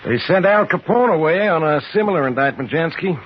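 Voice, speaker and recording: Man playing a animated, shrewd mob lawyer. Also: on the high side, brisk, close to an everyday voice. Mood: urgent. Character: shrewd, pragmatic male mob defense lawyer. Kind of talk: 1950s radio drama acting. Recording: muffled, slightly noisy 1950s radio recording.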